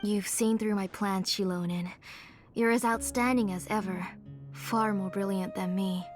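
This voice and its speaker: An actress playing a weary, devoted elderly aunt who speaks in a soft, tired, measured way in a video game. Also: female voice, medium pitch, steady tone, close to an everyday voice. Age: older. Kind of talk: video game dub acting. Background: game music.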